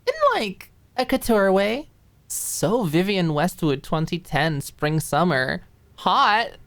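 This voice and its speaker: A woman's voice: lowish voice, animated, takes it slowly, fairly bright tone, voice pushed up, heavily acted. A woman voicing a playful young fashion designer in an audiobook drama, chatty, flippant and fussing. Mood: playful, excited.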